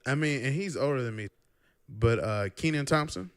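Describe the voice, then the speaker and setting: A man's voice: medium pitch, normal speed, clean tone. A young man hosting a casual podcast, teasing his guest and steering the talk. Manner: teasing, relaxed, steers the topics. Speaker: podcast host. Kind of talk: casual chat.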